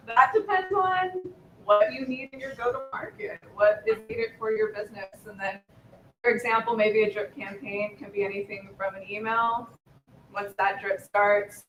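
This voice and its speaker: A woman's voice: medium pitch, steady tone, normal speed, fairly bright tone. Woman explaining in an echoey hall. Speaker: conference guest speaker. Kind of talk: answering audience questions.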